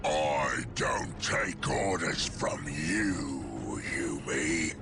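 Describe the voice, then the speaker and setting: A man playing a brutish, belligerent orc warboss in a video game, with a guttural growl and crude, threatening shouts. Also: a bass voice, expressive, extremely slow, full character voice. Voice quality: gravelly, guttural. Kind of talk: video game cutscene acting. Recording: loud music under the voice.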